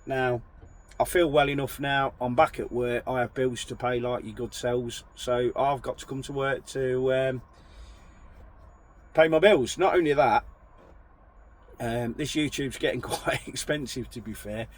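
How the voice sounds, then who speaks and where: A man with a croaky voice from a chest infection, chatting casually to camera as a truck driver vlogger, rambling and apologetic. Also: mid-range voice, steady tone, unhurried.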